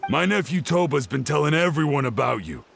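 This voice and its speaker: An actor playing an earnest, distraught old scholar in a video game, speaking in a plaintive, formal way. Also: male voice, fairly high pitch, lively intonation, brisk, vocal fry, soft background music. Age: older. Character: distraught, earnest old male scholar robbed of his work.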